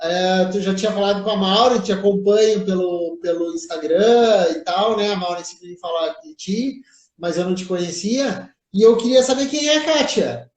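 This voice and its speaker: A woman's voice: mid-range voice, normal speed, a little mellow. A woman interviewer asking friendly, brief questions in a casual chat over a video call. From Santa Maria, Brazil. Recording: echoey room.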